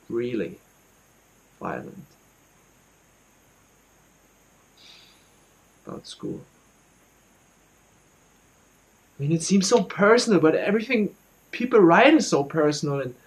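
A young man performing a dramatic monologue as an anxious, guilt-ridden student, speaking haltingly and repeating his words. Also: a little high, heavily acted, rough recording. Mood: frightened, hushed. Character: anxious, guilt-ridden student confiding about a troubled friend.

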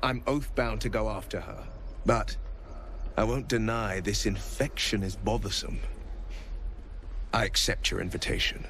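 A man's voice: on the low side, fairly even intonation, unhurried, long pauses, sharp tone, slight background noise. A man playing a gallant, boastful young monster hunter in a fantasy game, with a confident, theatrical manner. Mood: proud, courteous.

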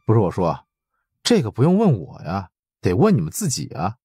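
A man voicing a gruff, dismissive middle-aged department chief with a husky, condescending drawl in an audiobook. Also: on the low side, wildly swooping pitch, brisk, warm tone, dry recording, studio quality, heavily acted.

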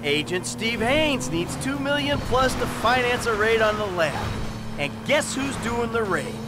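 A man's voice: very high-pitched, sing-song, a little slow, full character voice. A man voicing a reassuring, world-weary middle-aged career bank robber in a video game, with an American accent and casual, profane delivery. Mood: exasperated, explaining. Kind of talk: naturalistic video game dialogue. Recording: loud music over car noise.

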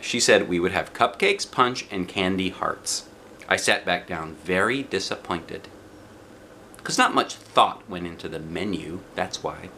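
A middle-aged American man reading a children's storybook aloud in animated narration. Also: low voice, a little slow. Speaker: children's book reader. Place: living room, indoors. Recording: noticeable background noise.

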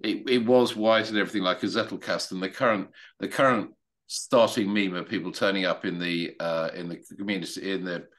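Man on a video call, lowish voice, stammering restarts, firm opinions. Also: fairly even intonation, fairly quick, fairly bright tone. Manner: hesitant, many restarts, opinionated. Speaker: meetup participant. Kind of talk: casual video call discussion.

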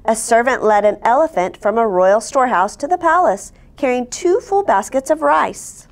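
A woman in her forties reading a picture book aloud to children as host, expressive and posing simple questions. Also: fairly high pitch, normal speed. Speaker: storyteller, host. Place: indoor studio set at a table. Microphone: lapel.

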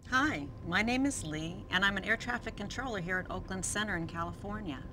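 A woman's voice: medium pitch, brisk. An American woman, an air traffic controller, delivering a scripted introduction to camera. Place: indoor control room.

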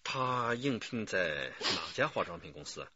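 Deep-voiced calm detective chief. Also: male voice, slightly rough, dry recording, highs cut off, exaggerated voice. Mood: calm, probing. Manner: measured questioning. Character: calm middle-aged police detective chief. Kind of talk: radio drama acting.

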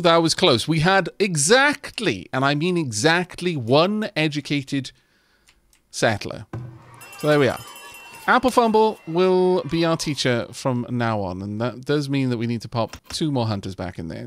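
Welsh-native male let's-player, chatty and expressive, speaks to his settlers, soft music underneath. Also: fairly high pitch. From Wales. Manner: chatty, talks to his settlers, self-deprecating. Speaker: let's play host. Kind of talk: casual gameplay commentary.

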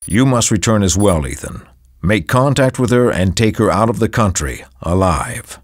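A man playing a formal, authoritative spy agency briefer, reading a measured scripted mission briefing. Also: fairly low pitch, very animated. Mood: official, grave. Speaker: mission briefing narrator in a video game.

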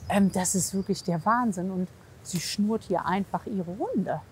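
A woman talking casually as a horse trainer, explaining things on the spot outdoors with a slightly husky voice. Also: mid-range voice, unhurried, darkish tone, a little hiss or hum. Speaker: horse physiotherapist and trainer, presenter. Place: outdoors at a horse yard and paddock.

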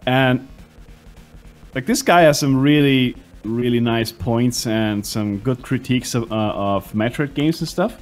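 A man's voice: medium pitch, soft background music. Eager, swearing man on a call. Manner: enthusiastic, profane, halting restarts. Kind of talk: casual chat over a voice call.

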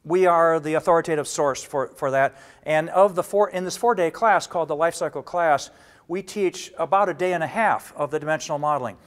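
Older man explaining a training course. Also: on the high side. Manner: measured and explanatory, gesturing with both hands, frequent restarts. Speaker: data warehouse instructor. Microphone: lapel mic.

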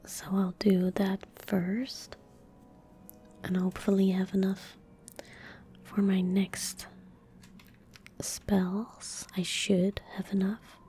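A young woman gaming streamer in soft-spoken ASMR style, murmuring to herself in a breathy whisper at a close microphone. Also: medium pitch, monotone, slight background noise. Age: young adult. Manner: unhurried, murmuring to herself as she plays. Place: indoor room at a gaming setup. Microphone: close desk microphone.

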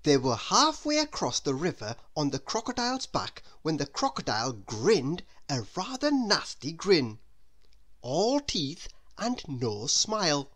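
A woman narrates a children's story in a lowish voice with sweeping intonation. She takes her time, reading very slowly and stretching out phrase endings expressively. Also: sing-song. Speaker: storybook reader. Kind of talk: children's read-aloud narration.

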